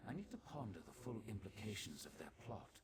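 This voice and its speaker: Wordy pedantic wizard scholar, deepish voice, swingy intonation, fantasy game. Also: male voice, big pitch swings, fairly quick, heavy background noise. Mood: pedantic, pondering. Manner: pompous, verbose, precise. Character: pedantic, cool-headed scholarly male mage. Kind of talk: fantasy video game voice acting.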